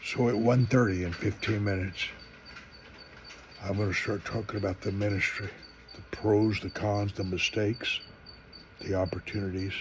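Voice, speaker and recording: A man's voice: lowish voice, fairly even intonation, normal speed, background noise. A man in his seventies, a minister, announcing an upcoming live broadcast in a rambling, conversational way. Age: 70s. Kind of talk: promo announcement for a live stream.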